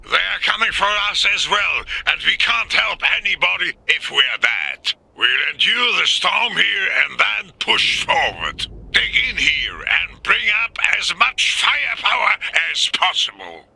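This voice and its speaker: An actor playing a cautious, firm army commander in a war game, gravelly, husky and clipped. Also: male voice, high-pitched, big pitch swings, a little slow, hardly any pauses, pushed and bright, full character voice, low-quality recording. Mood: urgent. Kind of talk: dramatic military game acting.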